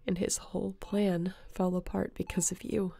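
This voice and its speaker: Casting: actress for tender, guilt-ridden female space captain, heavy vocal fry, halting emotional delivery, sci-fi roleplay. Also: mid-range voice, flat delivery, dark tone. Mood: tearful, pleading. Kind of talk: sci-fi audio roleplay acting.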